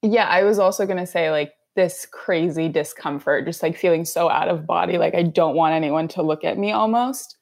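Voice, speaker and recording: A woman guest chatting casually, relaxed and using many fillers. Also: lowish voice, normal speed, creaky, few pauses. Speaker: podcast guest, co-host. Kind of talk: casual conversation.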